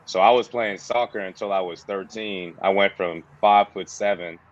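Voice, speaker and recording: A man in his thirties from the Bahamas, a former player turned coach, telling stories in a relaxed, slangy way with a toned-down Bahamian accent. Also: sits low, normal speed, highs cut off. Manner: casual, slangy, says "bro" and "you know". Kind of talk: relaxed interview storytelling.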